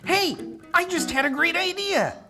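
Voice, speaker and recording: A woman playing an excitable, naive young boy space scout in a comic radio drama, full of gee-whiz exclamations. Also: extremely high pitch, normal speed, over music. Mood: eager.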